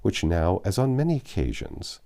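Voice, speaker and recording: A man's voice: sits low, sing-song, normal speed, vocal fry, warm tone. A man narrating a classic novel aloud as an audiobook reader.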